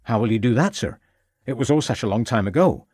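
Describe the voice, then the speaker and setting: A man's voice: medium pitch, lively intonation, brisk, a little mellow, husky, no echo, clean studio sound. A man reading a dutiful, observant police sidekick in a storybook, speaking deferentially. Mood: attentive.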